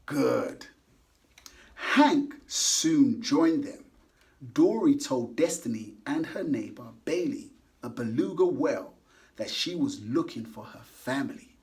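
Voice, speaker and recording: A man reading a picture book aloud to children, animated and coaxing, stopping to ask the kids questions. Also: medium pitch, takes it slowly. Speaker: children's story reader.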